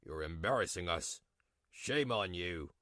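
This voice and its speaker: Stern older father male actor, manga dub: deep, weighty, dignified and scolding, recorded dry. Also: expressive, measured pace, a bit bright, no echo. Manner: grave, admonishing.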